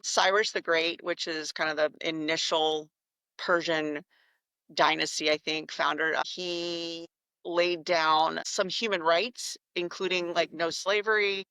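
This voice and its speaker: A woman talks as an interview guest, her voice bright and forward with a gravelly edge of fry. She tells her stories in a reflective, conversational way. The sound is close and dry, with no room behind her. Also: fairly low pitch, steady tone, a little slow, no room sound, rough recording. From United States. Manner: reflective, warm storytelling with some restarts.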